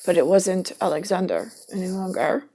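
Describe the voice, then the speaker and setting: A woman's voice: on the low side, normal speed, mellow, a little hiss or hum. A woman talking in a rambling, stream-of-consciousness monologue, often sinking into a half-whisper and spelling words out letter by letter. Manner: spells words out letter by letter, drifts between topics.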